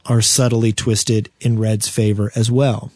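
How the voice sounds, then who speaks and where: A man's voice: fairly low pitch, little pitch movement, unhurried, a little mellow, dry recording, studio quality. A man co-hosting a gaming podcast, talking casually and enthusiastically. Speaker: podcast co-host.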